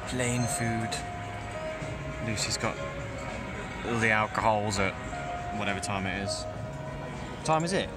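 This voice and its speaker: A man's voice: on the low side, lively intonation, takes it slowly, long pauses, over loud music. A young man filming himself with a handheld camera at arm's length, making dry, wry remarks as he travels through airports, planes and hotel rooms. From England. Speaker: vlogger. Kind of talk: casual travel vlog narration.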